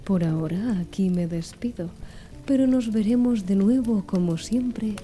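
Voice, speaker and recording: A woman narrating an ASMR-style audiobook in a soft, slow and measured reading. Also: mid-range voice. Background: faint background music.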